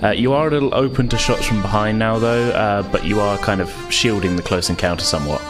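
A man's voice: lowish voice, fast talker. A man running a tabletop role-playing game as game master, describing the action in a conversational way. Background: music.

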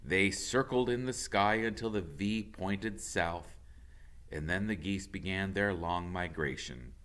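A man's voice: sits low, a little slow, a bit bright. A middle-aged American man narrating a children's storybook aloud with expression, like a teacher to a class. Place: classroom with whiteboard, indoors.

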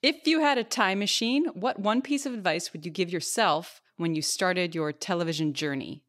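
A woman's voice: lowish voice, moderate pace, fairly bright tone. A middle-aged woman co-hosting a casual podcast in a playful, self-mocking way. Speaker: podcast co-host. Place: home kitchen, video call. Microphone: large microphone close up, headphones.

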